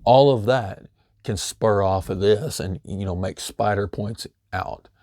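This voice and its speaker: A middle-aged man with a low, warm voice, a bit hoarse as if losing it. He talks through practical advice in a loose, easygoing way, leaning on "you know" as he goes. The sound is dry and close. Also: measured pace, no echo. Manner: loose and conversational, many "you know" fillers. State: slightly hoarse, losing his voice. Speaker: homesteading channel host. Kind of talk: casual instructional talk.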